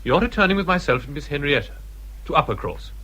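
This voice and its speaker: An English actor playing a commanding, impatient gentleman officer in a period radio drama, curt and clipped. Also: on the high side, expressive, quick pace, breathy edge, heavily acted, poor audio.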